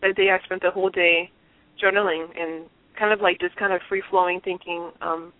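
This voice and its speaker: A woman's voice: fairly low pitch, fairly even intonation, normal speed, dry recording. A woman from Liberia, an interview guest, telling her own story in an earnest and reflective way. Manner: earnest, reflective, with frequent restarts. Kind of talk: personal testimony in an interview. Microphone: telephone. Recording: tinny dry phone line.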